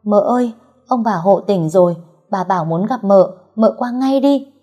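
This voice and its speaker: A woman's voice: medium pitch, animated, normal speed, warm tone, natural voice. A woman voicing a young servant girl calling out urgently.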